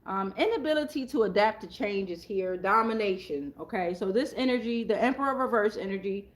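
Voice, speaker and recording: A woman's voice: mid-range voice, animated, a little fast. A woman hosting an improvised tarot reading, talking casually in a blunt, slangy, profane way and repeating phrases for emphasis.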